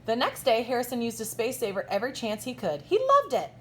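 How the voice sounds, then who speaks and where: A woman kindergarten teacher reading a picture book aloud expressively, pausing to ask young listeners questions. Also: a little high, huge pitch swings, slight background noise. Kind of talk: expressive children's storytime read-aloud with asides.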